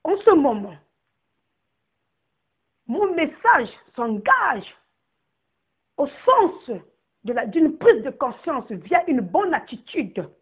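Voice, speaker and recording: A woman's voice: sits high, very animated, takes it slowly, pauses often, muffled recording. A woman from the Republic of the Congo giving an emphatic political address to her compatriots. She declaims and stresses each word of her long lists.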